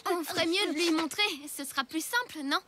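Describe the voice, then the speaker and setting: A woman's voice: very high voice, a little fast, very noisy. A woman voicing a small, outspoken child in a cartoon, with a plaintive, cheeky delivery. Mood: questioning. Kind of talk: French animated cartoon acting. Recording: loud music behind the dialogue.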